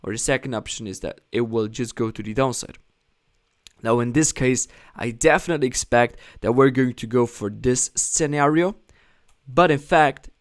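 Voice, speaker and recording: Man explaining forex charts live. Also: medium pitch. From Germany. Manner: explanatory, repeats "okay?" often, non-native phrasing. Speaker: forex trading analyst, live stream host. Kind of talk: live trading analysis stream, reading chat.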